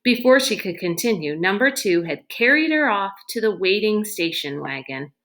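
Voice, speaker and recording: A woman's voice: medium pitch, very animated, moderate pace, fairly bright tone. A woman reading a children's novel aloud as scripted storybook narration.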